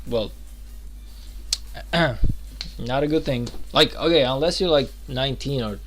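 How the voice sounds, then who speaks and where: A man's voice: medium pitch, unhurried, soft background music. A man in his twenties chatting loosely while streaming a game, rambling with lots of fillers and restarts. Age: 20s. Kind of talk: casual live-stream chat.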